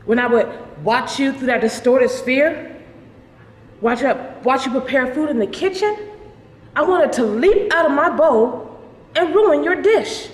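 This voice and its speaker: A woman performing a dramatic monologue as the bitter, resentful ghost of a pet goldfish, speaking in a theatrical, deliberate, scornful way. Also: high-pitched, expressive. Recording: noisy, echoing hall recording.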